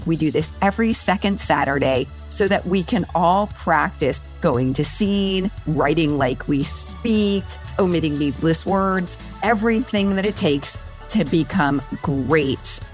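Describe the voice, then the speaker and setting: Woman, middle-aged, slightly low voice, scripted podcast advert, faint music behind. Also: animated, a little slow. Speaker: podcast promo presenter. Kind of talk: scripted promo read.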